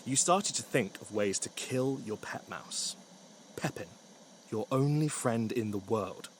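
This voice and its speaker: A man's voice: mid-range voice, a little slow, noisy background. A man narrating a scripted story with wry, dry humour.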